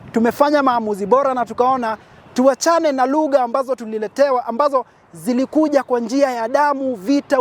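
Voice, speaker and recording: A man from Kenya, giving an impassioned advocacy speech outdoors and building his points through emphatic, repetitive lists. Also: very high-pitched. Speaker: petitioner.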